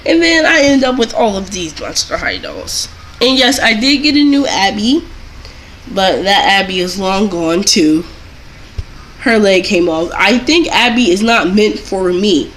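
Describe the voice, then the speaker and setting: A woman's voice: medium pitch, very animated, measured pace, fairly bright tone, low-quality recording. Young woman doing a chatty storytime, rambles and repeats herself, bit of noise behind her. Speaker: doll collector vlogger telling a story.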